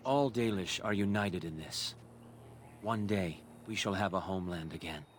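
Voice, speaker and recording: An actor playing a proud, kindly elven master craftsman in a fantasy game, speaking in a measured, formal storytelling way. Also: low voice, slight background noise, close to an everyday voice. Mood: solemn. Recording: loud music behind the voice. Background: game music.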